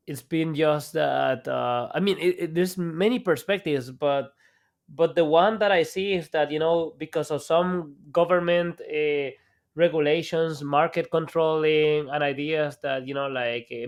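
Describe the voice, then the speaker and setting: A man from El Salvador, a guest entrepreneur, talking casually in an interview with many fillers and restarts. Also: on the high side.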